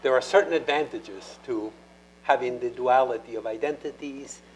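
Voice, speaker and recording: A man's voice: medium pitch, normal speed, a little hiss or hum, low-quality recording. A man moderating a university panel, speaking in a reflective, academic way. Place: lecture hall panel.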